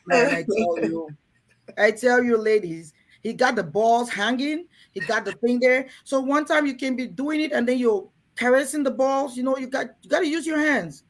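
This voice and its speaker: A woman's voice: on the high side, normal speed. A woman from Cameroon hosting a frank talk to women in a room, earnest and coaxing, often turning to the ladies in her audience. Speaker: host.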